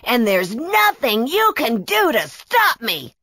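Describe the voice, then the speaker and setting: Sneering, boastful Tiki henchman villain read by a woman, animated and lifted in pitch. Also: slow pace, no echo, clean studio sound, heavily acted. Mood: boastful, menacing. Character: scheming, mocking male Tiki henchman turned power-hungry villain.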